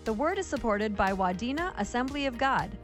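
A woman reading a scripted radio book promo and sponsor spot in a sincere, even manner. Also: fairly high pitch, brisk, soft background music. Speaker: radio announcer.

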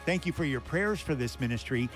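A man's voice: mid-range voice, lively intonation, brisk, music in the background. An American man, a radio station manager, delivering a fundraising announcement addressed directly to listeners.

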